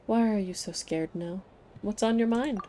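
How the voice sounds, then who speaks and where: A woman's voice: mid-range voice, normal speed, dark tone, soft background music. A woman streamer reacting casually to a game, with gushing, fangirlish asides.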